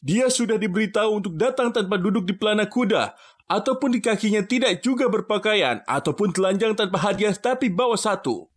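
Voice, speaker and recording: A man playing an obsequious, cowardly royal official, excitable and servile, in a cartoon dub. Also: high-pitched, fairly quick. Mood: officious.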